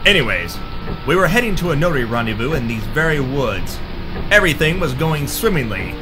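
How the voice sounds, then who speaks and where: Man acting pompous pulp hero, very animated. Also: on the high side, fairly quick, music in the background. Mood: matter-of-fact. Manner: self-important, dramatic. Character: pompous, callous pulp hero, a space notary. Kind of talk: retro pulp radio-serial parody acting.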